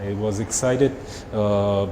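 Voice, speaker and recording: A man's voice: on the low side, steady tone, background noise. Russian-accented man in an echoey room. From Moscow, Russia. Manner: halting, simple non-native phrasing. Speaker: doctor being interviewed. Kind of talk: conversational interview answers. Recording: roomy echo with music underneath.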